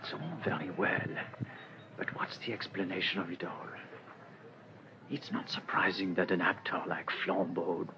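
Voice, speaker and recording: A man playing an urgent, puzzled police inspector in a radio drama, commanding and often speaking in hushed tones. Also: low voice, little pitch movement, moderate pace, close to an everyday voice. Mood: puzzled musing. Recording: muffled, noisy radio drama recording.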